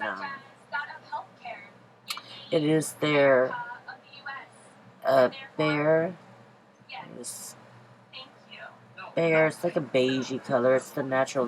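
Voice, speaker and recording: A woman with a very low voice, congested from a cold, narrates her makeup routine. She rambles casually, pausing on ums and restarting her sentences. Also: slight background noise, poor audio. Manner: chatty, rambling, many ums and self-corrections. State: stuffy from a cold. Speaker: beauty vlogger. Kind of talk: casual makeup tutorial voice-over.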